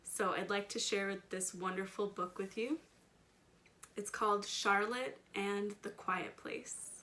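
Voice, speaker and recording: A woman's voice: medium pitch, level tone, takes it slowly, sharp tone. A woman reading a picture book aloud for children, talking to them directly and stressing key words. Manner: addresses children directly with questions and simple words. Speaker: storytime reader and children's educator. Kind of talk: children's picture book read-aloud with chatty asides to young listeners.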